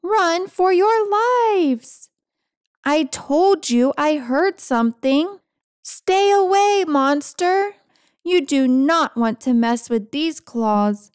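A woman's voice: high-pitched, animated, slow pace, darkish tone, dry recording, studio quality. A woman voicing a grumpy, dramatic, self-pitying house cat with sarcastic, theatrical complaining in a picture-book read-aloud. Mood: alarmed, defiant.

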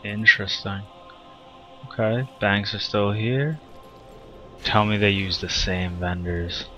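Low voiced guy rambling through his game, chatty, game music going behind him. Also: fairly even intonation, takes it slowly, bright, forceful tone, lo-fi sound. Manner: chatty, thinks aloud while playing. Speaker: gaming streamer. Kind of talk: casual gameplay commentary.

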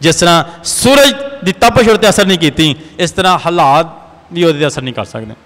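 A man's voice: on the high side, lively intonation, moderate pace, a bit bright. A man in his thirties with a Pakistani Punjabi accent preaches a sermon emphatically as a priest, repeating phrases for effect. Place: large church, indoors at a pulpit. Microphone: gooseneck pulpit microphone. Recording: reverberant church hall.

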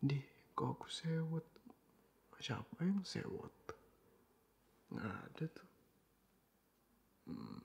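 Gravelly soft-spoken young man, half-whispering. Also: fairly high pitch, very animated, slow pace, pauses often, muffled recording. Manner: mixes English phrases into speech. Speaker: boyfriend roleplayer. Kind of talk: boyfriend roleplay ASMR.

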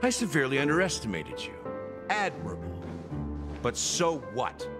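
A man's voice: medium pitch, huge pitch swings, normal speed. A man voicing a scruffy, defiant security officer and bartender in a video game, taunting and sarcastic with theatrical flair. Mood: menacing, amused. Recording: loud music behind the dialogue.

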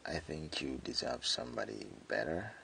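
A man voicing a jealous tsundere friend confessing hidden love, speaking haltingly in an intimate boyfriend ASMR roleplay. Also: very animated, sharp tone, slight background noise, exaggerated voice. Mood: hesitant, sulky. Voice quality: very deep, gravelly. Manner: hesitant, halting, with stammered repeats.

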